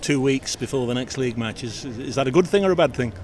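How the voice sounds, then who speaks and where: Brisk male club interviewer, quick-fire conversational questions, outdoors pitchside, faint traffic behind. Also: lively intonation, a little mellow. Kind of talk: post-match interview questions.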